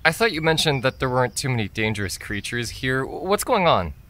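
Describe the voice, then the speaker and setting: An actor playing a curious, brainy young student in an audio drama, inquisitive, eager and excitable. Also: mid-range voice, brisk. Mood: puzzled, inquisitive.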